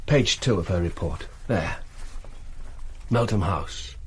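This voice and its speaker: An actor playing a shrewd, eager German intelligence colonel in a wartime radio drama, with a measured, authoritative delivery. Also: male voice, low voice, expressive, slightly rough, full character voice. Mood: confident, explaining.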